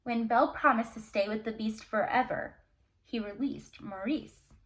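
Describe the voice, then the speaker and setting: A woman's voice: fairly high pitch, moderate pace, low-quality recording. A woman reading a fairy tale aloud to children expressively, with casual asides. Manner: expressive, dramatic storytelling. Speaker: storyteller, teacher.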